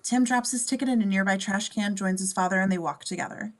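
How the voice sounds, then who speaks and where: Woman narrator reading stage directions, medium pitch. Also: fast talker. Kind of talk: scripted narration for a table reading.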